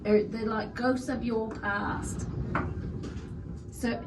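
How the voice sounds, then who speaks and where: A woman's voice: a little high, flat delivery, very slow. A woman playing a tabletop role-playing game, bantering playfully in character. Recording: echoey, distant mic, noisy room.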